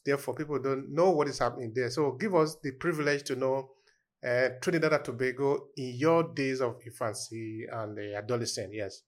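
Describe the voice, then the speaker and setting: A man's voice: mid-range voice, steady tone, brisk. A man hosting a podcast, reading a scripted intro and asking questions in formal, slightly unidiomatic phrasing. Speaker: podcast host.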